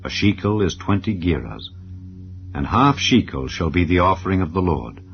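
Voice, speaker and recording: A man narrating scripture as a dramatized audiobook, in a solemn, measured and formal delivery. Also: low-pitched, sing-song, dull audio. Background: background music.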